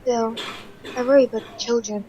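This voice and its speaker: An actress playing a sensitive, worried scientist in a science fiction radio play. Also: female voice, fairly high pitch, fairly even intonation, brisk, dark tone, dull audio, a little hiss or hum, lightly acted. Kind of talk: scripted sci-fi radio play.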